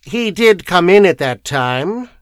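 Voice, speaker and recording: A courteous, careful solicitor from a mystery audiobook, acted by a male narrator. He speaks earnestly and a bit hesitantly, raised above the narrator's usual pitch. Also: very animated, measured pace, no echo, clean studio sound. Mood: reassuring, firm. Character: polite, cautious male English solicitor.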